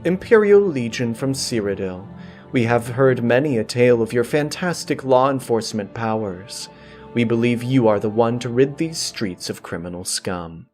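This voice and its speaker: A man's voice: medium pitch, animated, normal speed, a little mellow, soft background music, lightly acted. A man voicing a lazy, petulant female pony ruler with self-important royal phrasing in a comic storybook. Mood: regal.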